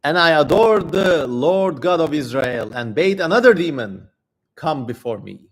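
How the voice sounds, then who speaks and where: A man's voice: a little high, expressive, unhurried, breathy edge, exaggerated voice, poor audio. A man voicing a stern ancient king in a theatrical, declamatory dramatic reading. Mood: solemn, proud.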